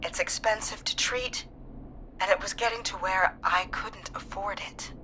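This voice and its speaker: A woman's voice: medium pitch, a little slow, sharp tone, slight background noise, low-quality recording. An actress playing a frightened, pleading woman in a video game, earnest and distressed.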